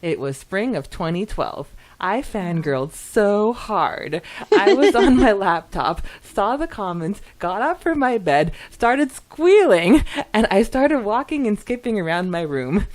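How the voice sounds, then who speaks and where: A woman's voice: medium pitch, very animated, a little slow, darkish tone. A woman co-hosting a podcast, chatting casually and reading comments aloud in a giggly, enthusiastic manner. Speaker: podcast co-host.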